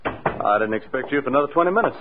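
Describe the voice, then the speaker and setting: A man's voice: medium pitch, lively intonation, quick pace, a little hiss or hum, natural voice. An American man acting a suspicious, insistent, anxious fellow in a 1940s radio drama, with urgent slangy delivery. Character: anxious, suspicious male oil company stooge, blunt and insistent. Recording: muffled, narrow-band old radio recording.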